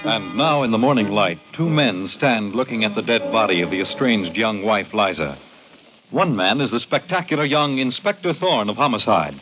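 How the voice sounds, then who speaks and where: An American man narrating an old-time radio crime drama as announcer, measured and dramatic. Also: fairly low pitch, animated. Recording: dull vintage radio recording. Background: dramatic music.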